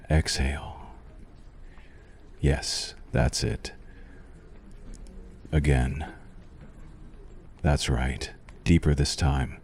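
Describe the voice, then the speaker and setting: A man playing a sinister, possessive vampire doctor in an ASMR roleplay, silkily courteous and softly menacing, with slow, deliberate delivery. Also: a bass voice, a little hiss or hum. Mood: intimate, clinical. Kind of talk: ASMR roleplay addressed to the listener.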